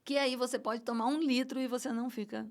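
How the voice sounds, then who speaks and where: A woman's voice: fairly high pitch, fairly even intonation, quick pace. A middle-aged Brazilian woman speaking Portuguese as an interview guest, passionate and emotional, stumbling over restarts and fillers. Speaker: guest, event producer. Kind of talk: casual conversational interview.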